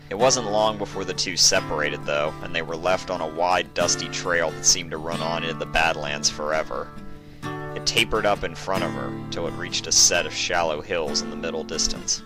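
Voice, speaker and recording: Very deep-voiced male story narrator. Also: normal speed. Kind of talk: scripted narration of western fan fiction. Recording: music behind the voice.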